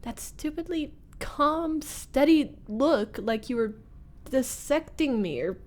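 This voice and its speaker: A woman playing a teasing young former school bully turned nervous roommate, in an intimate ASMR roleplay with halting, breathy delivery that drops into whispers. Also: high-pitched, lively intonation. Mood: frustrated, accusing.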